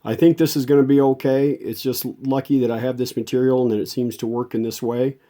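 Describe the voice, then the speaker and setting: A man with a mellow, relaxed voice at an average pitch talks through a hands-on repair. He explains each step in a folksy, unscripted way, as a restorer showing his work. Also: a little fast. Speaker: radio restorer giving a repair video.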